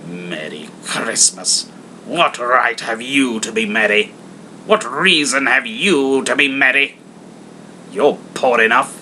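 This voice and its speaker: A man's voice: expressive, slow, sharp tone, exaggerated voice. A man voicing a grumpy old miser in a storybook reading, curt, scornful and emphatic, over background noise. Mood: irritable, scornful. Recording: clear background noise, low rumble.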